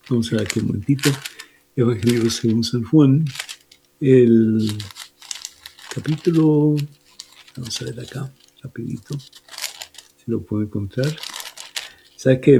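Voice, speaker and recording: A man's voice: medium pitch, creaky, mellow, a little hiss or hum. A man, a priest on a radio program, explaining church teaching in an emphatic, questioning way. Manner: explanatory, emphatic, asks listeners "¿sí?".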